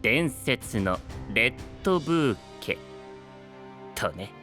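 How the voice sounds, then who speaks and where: An actor playing a teasing, courteous knight who tells stories in polite, playful and grandiose speech in an anime audio drama. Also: male voice, medium pitch, very animated, slow pace, sharp tone, long reverb tail, soft background music, exaggerated voice. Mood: storytelling. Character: theatrical, teasing, courteous male knight storyteller.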